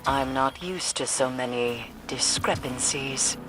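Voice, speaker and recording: Solemn female occult expert for a video game: grave, deliberate, low-key menace-free formality, unhurried. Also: over music, low-quality recording. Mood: dry, disdainful. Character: stern, solemn mystic woman, cryptic occult expert.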